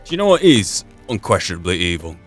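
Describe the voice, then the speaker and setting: Low-voiced man musing over game music. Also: sing-song, fast talker. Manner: musing, philosophical, poses rhetorical questions. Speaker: gamer narrating a roleplay playthrough. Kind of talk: reflective solo game commentary, musing in and out of character. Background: video game music.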